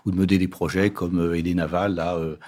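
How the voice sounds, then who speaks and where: A low-voiced man in his sixties talks quickly in a husky tone. He is a company president on a business radio show and answers with confidence, though fillers break up his speech. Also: flat delivery, darkish tone. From Paris, France. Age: 60s. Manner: confident, hesitant with frequent fillers.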